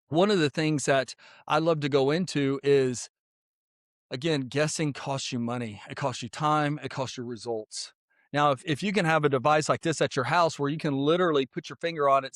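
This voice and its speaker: A man of fifty, hosting a podcast in a casual, energetic monologue full of "like" and repeated points. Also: fairly high pitch, no echo, studio quality. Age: 50s. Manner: energetic, repetitive, filler-heavy persuasive talk. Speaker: podcast host.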